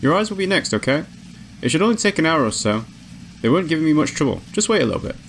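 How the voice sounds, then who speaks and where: A man's voice: a little high, big pitch swings, fast talker, a little hiss or hum. A man voicing a shy, friendly woman scientist in a visual novel, upbeat, reassuring and easily flustered. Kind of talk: visual novel character acting. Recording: music behind the voice.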